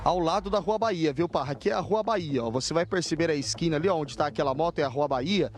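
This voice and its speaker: A man's voice: fairly high pitch, fast. A Brazilian man reporting live for TV news from a city street, describing the scene as he points things out. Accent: Brazilian Portuguese. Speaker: field reporter.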